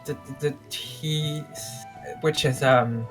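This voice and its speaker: Man playing a higher-pitched, nervous stammering apprentice. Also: fairly even intonation, slow pace, fairly bright tone. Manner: hesitant, stammering, repeats words. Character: nervous, unsure young male apprentice time mage. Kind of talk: improvised video game roleplay. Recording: background music under the voice.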